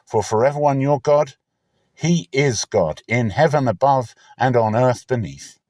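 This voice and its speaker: A man's voice: medium pitch, measured pace, darkish tone, no room sound, studio quality, voice pushed up, natural voice. A man voicing an earnest woman of ancient times who pleads solemnly and formally in a dramatic scripture reading. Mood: earnest pleading.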